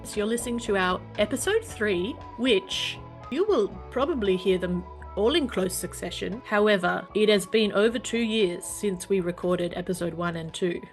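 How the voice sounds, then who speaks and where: A woman's voice: medium pitch, moderate pace, soft background music. A woman in her thirties with a blocked nose from hay fever, co-hosting a casual podcast from her lounge room over a video call. She chats in a playful, easygoing way. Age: 30s. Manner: chatty, playful, laughs often. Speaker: podcast co-host. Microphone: home podcast mic over video call.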